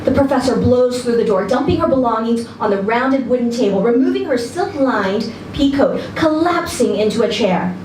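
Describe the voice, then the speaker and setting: A woman's voice: fairly high pitch, normal speed, hardly any pauses, a little mellow. A woman reading a literary short story aloud expressively at a live event. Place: indoor social venue, live reading event. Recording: echoey noisy room, distant event video mic.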